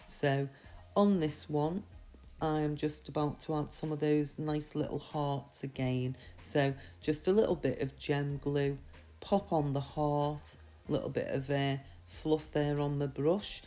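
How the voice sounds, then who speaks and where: A woman with a slightly croaky voice from a mild sore throat, giving a chatty, reassuring, step-by-step tutorial. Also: low-pitched, fairly even intonation, measured pace, mellow. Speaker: nail art tutorial host. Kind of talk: casual step-by-step tutorial voice-over.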